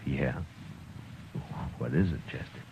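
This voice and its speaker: An American actor playing a weary, gruff but tender frontier lawman in a halting, understated 1950s radio western style. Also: rumbling low, heavily acted. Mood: weary, wry. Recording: muffled, noisy old radio recording.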